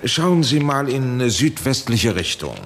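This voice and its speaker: An actor playing an anxious, authoritative professor in a 1970s German radio drama, urging and imploring in formal speech. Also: male voice, medium pitch, big pitch swings, slow pace, slight background noise. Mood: uneasy. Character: anxious authoritative male professor pleading urgently by radio link.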